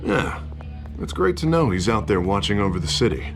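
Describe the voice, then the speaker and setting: An actor playing an earnest, wary politician in a video game, an exasperated but loyal friend. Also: male voice, lowish voice, big pitch swings, brisk, music in the background. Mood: agreeable.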